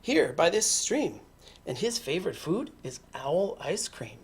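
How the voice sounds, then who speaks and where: A woman's voice: fairly low pitch, very animated, unhurried, breathy edge, full character voice. A woman voicing a small, clever brown mouse who bluffs politely and confidently, in a picture-book read-aloud. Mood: sly warning. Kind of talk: animated picture-book character reading.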